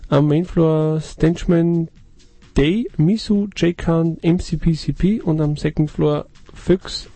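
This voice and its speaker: Gravelly dry-voiced radio host, man. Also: on the high side, takes it slowly, warm tone, dry recording, faint music behind. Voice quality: gravelly, husky. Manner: stumbling, loses his thread. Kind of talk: casual radio chat, reading event listings. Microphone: dry studio mic.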